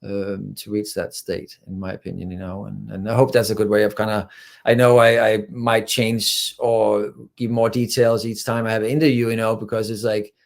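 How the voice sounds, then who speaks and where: Guy, lowish voice, earnest rambly spiritual talk, lots of you know. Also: little pitch movement, normal speed, gravelly. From Denmark. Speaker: guest, spiritual channeler. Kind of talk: casual interview talk.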